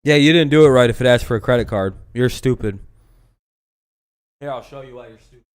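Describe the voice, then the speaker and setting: A young man hosting a livestream, talking loosely and swearing as he drifts from one thought to the next, often cutting himself off. His voice is smooth and clear at an ordinary pitch. The sound is dry, with no room around it. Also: brisk, dry recording. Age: 20s. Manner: rambling, profane, self-interrupting. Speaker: livestream host. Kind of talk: casual livestream chat.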